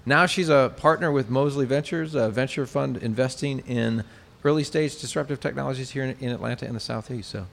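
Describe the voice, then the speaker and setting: A man hosting an interview, asking questions in a halting way with many restarts. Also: mid-range voice, vocal fry, slight background noise. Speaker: interview host.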